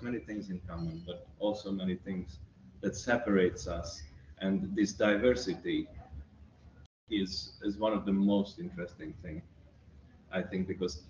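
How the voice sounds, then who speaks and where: A young Hungarian man with a Hungarian accent, a researcher answering interview questions thoughtfully, pausing often with fillers, recorded by a camera across an office room by a window. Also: low voice, a little mellow. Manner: thoughtful, with many pauses and fillers. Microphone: far camera. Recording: roomy, distant, muffled, faint hiss.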